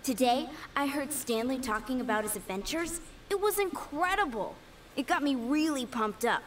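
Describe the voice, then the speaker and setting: A woman's voice: high voice, animated, moderate pace, a little hiss or hum. A woman playing an eager, earnest young would-be knight in an anime-style video game. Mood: excited, hopeful. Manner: enthusiastic, earnest.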